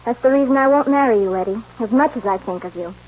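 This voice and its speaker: Actress as a high-voiced, principled rancher's daughter. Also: female voice, fast talker, darkish tone, close to an everyday voice. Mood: stern, heartfelt. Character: principled, firm young woman, rancher's daughter. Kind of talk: 1940s radio western acting. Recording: dull, noisy old radio recording.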